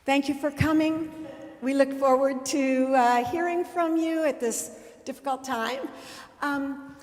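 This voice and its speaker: A woman hosting an event in a church room, introducing the guest speaker with many pauses and fillers. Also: high voice. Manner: slow, halting, many fillers. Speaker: event host. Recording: echoey hall with long reverb.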